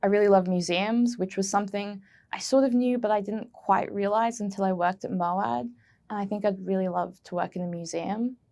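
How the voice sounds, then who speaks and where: A woman intern giving prepared interview answers in a clear, earnest, composed way. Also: medium pitch, normal speed, darkish tone. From Australia. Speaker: museum intern.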